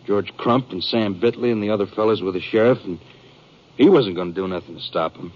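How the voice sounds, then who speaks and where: Dull vintage radio recording, male actor as Western fugitive, lowish pitch, rustic American accent, earnest, halting, frightened, aggrieved. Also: close to an everyday voice. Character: frightened, aggrieved Western ranch man on the run. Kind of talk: 1950s radio drama acting. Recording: muffled 1950s radio recording.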